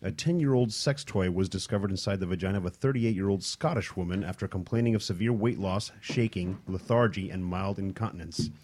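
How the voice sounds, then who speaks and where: A man's voice: low-pitched, a little fast, hardly any pauses, a little mellow. A man co-hosting a crude chat show, reading a news story aloud and joking.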